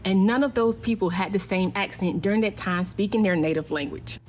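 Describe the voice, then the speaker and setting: A woman's voice: medium pitch, fairly quick, darkish tone, a little hiss or hum. A woman from the United States giving a firm, lecturing explanation in a commentary clip.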